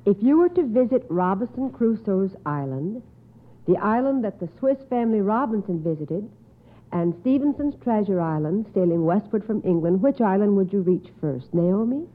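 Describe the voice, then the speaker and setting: Female radio quiz host, medium pitch, formal and encouraging, thin vintage broadcast sound. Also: animated, moderate pace. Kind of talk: live radio quiz hosting. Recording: tinny, narrow old radio broadcast.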